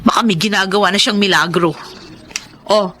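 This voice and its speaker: An actress playing a suspicious, impatient Filipino wife in a radio drama, with a bossy, demanding manner. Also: female voice, mid-range voice, normal speed, pushed and bright, a little hiss or hum, lightly acted.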